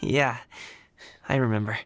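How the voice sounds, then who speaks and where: Man playing young game hero, medium pitch, unhurried, hesitant, earnest, modest, bashful son. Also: steady tone, a bit bright, close to an everyday voice. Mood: warm, sheepish. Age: young adult. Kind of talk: video game voice acting.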